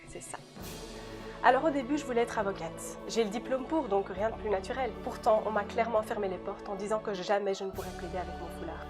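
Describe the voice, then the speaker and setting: A woman's voice: mid-range voice, fast talker. Earnest young woman, Dutch-speaking Belgian. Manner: earnest, direct, addresses the listener as a friend. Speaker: law graduate giving a testimony. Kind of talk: scripted personal testimony. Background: music.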